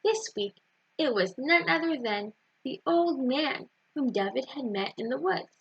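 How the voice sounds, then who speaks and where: A woman storyteller reading aloud to children in an animated style. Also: sits high, unhurried, vocal fry, breathy edge. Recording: dull, roomy lo-fi recording.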